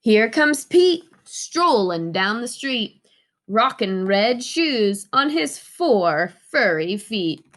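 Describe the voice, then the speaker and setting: A woman reading a children's storybook aloud in an expressive way, asking her young listeners questions. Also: on the high side, slow. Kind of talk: children's storybook read-aloud with sing-along.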